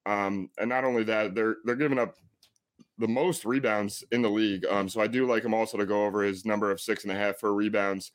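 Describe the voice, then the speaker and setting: A man with a deep voice giving NBA player picks, hesitant with many uhs and ums. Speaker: sports betting analyst.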